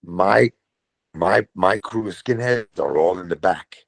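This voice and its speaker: Gravelly Brooklyn man telling stories. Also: sits low, measured pace, no room sound. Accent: New York. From Brooklyn, New York. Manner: profane, animated storytelling with voiced quotes. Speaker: guest, metal vocalist. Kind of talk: casual storytelling.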